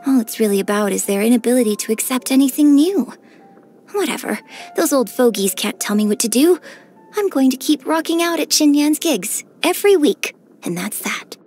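A graceful young opera singer from a video game, speaks in a fairly high voice. She is gentle and cheerful, with polite, measured and elegant phrasing. Soft music plays underneath. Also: animated, husky. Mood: defiant. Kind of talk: scripted game character voice lines. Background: background music.

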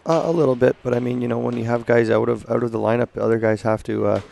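A man in his thirties, a hockey coach giving a halting postgame interview at an indoor rink on a headset mic. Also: medium pitch, a little hiss or hum. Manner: flat, gravelly, halting with many restarts.

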